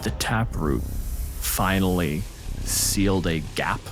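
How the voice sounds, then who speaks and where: A man acting as game master, narrating an improvised tabletop story with dramatic pauses and dry humor. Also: sits low, loud music behind the voice.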